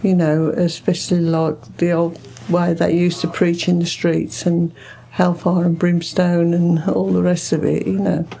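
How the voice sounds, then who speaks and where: A woman's voice: fairly low pitch, flat delivery, unhurried, creaky, dark tone, a little hiss or hum, low-quality recording. A middle-aged or older woman with a British accent, giving conversational answers in an interview about her work. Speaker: food bank co-founder, interviewee.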